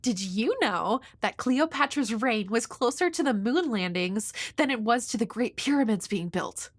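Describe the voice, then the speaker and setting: A woman's voice: on the high side, lively intonation, normal speed, dry recording. A woman playing a shy, awkward, nerdy young woman next door in an audio roleplay, hesitant and rambling with a nervously apologetic manner. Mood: nerdy, eager. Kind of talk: intimate audio roleplay addressed to the listener.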